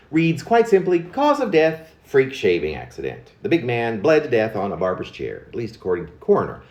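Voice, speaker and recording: Man reading a wry poem, very swoopy pitch. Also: a little mellow, echoey room, highs cut off. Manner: wry, confiding storyteller, as if sharing gossip. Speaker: poet reading own work. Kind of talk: recited humorous narrative poem.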